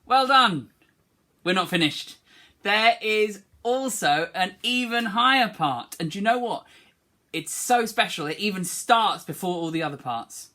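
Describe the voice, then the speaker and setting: A woman's voice: medium pitch, lively intonation, measured pace, bright, forceful tone. A woman teaching children a song, speaking encouragingly and singing short phrases for them to repeat. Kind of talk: online singing lesson, call and response.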